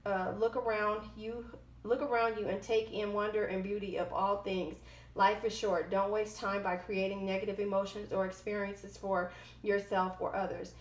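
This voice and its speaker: A woman with a medium-pitched voice gives an informal oracle card reading in a roomy, echoing space. She moves between reading aloud and ad-libbing, haltingly, often tripping on a word and correcting herself. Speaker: tarot card reader, host.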